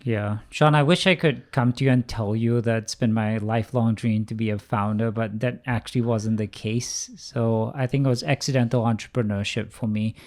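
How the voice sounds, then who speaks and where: A man in his forties from Singapore speaks at a medium pitch. He is an interview guest who works in venture capital, telling personal stories in a conversational way. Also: steady tone, moderate pace, hardly any pauses.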